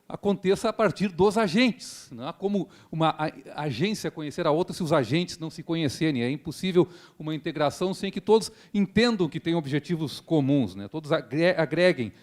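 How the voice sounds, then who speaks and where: Earnest prosecutor giving a speech. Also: male voice, fairly high pitch, expressive, a little fast, few pauses. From Rio Grande do Sul, Brazil. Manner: earnest rhetorical speaker, frequent tag questions. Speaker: public prosecutor. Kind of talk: formal speech at a seminar.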